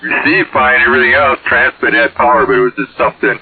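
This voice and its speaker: A man's voice: mid-range voice, level tone, fast talker, a little hiss or hum. A man chatting casually over CB radio in a hesitant, folksy way. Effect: radio transmission. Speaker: CB radio operator. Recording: tinny, band-limited CB radio signal.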